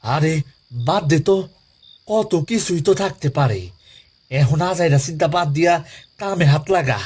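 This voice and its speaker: Man playing a slow-talking, brusque seasoned gravedigger. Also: fairly high pitch, lively intonation, a little mellow, breathy edge. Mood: brisk, dismissive. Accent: rural Bangladeshi. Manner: brusque, commanding, colloquial. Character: gruff, seasoned male gravedigger, bossy and dismissive. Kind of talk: audio horror story acting. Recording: music behind the voice.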